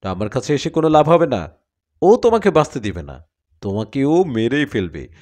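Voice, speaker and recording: Male narrator as occult sorcerer, harsh, forceful, threatening, merciless, horror audio story. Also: medium pitch, sing-song, normal speed, lightly acted.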